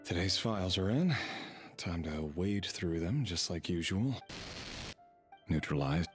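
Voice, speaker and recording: A man playing a gloomy, weary intelligence operative in a video game, dutiful and defensive in a brooding, halting way. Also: low-pitched, animated, soft background music. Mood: weary, flat. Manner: brooding, halting, self-justifying. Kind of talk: dramatic video game acting.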